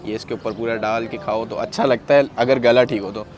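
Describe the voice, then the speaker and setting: A man's voice: medium pitch, steady tone, a little fast. A man with a sore throat, chatting to viewers as he films his own travel vlog, calling them brother as he walks and shows things. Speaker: travel vlogger. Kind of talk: handheld travel vlog narration. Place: outdoors and in a large busy mosque extension. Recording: noisy outdoor phone recording.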